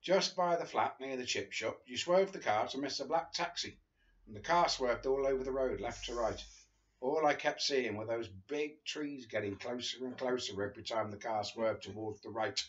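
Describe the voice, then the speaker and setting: A man's voice: medium pitch, normal speed, muffled recording. An older man reading a friend's memoir aloud at home, chatty, with chuckling asides between passages. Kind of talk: casual book reading to camera. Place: living room sofa, indoors.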